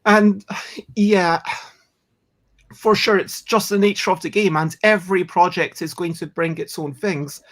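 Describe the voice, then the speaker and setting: A chatty host of a board game show, speaking at an ordinary middle pitch. They ramble casually, restart their sentences, and poke gentle fun at themselves as they go. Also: steady tone, unhurried. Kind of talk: casual chat.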